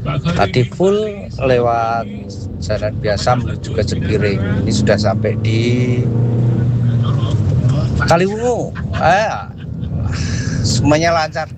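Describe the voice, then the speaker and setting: Guy phoning in a traffic update, talks slow, loud street noise behind him. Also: mid-range voice, big pitch swings. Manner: slow, halting report. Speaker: caller giving a traffic report.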